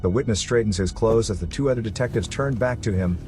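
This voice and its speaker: A man's voice: lowish voice, quick pace. A man reading scripted, dramatic narration for a suspense story. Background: music.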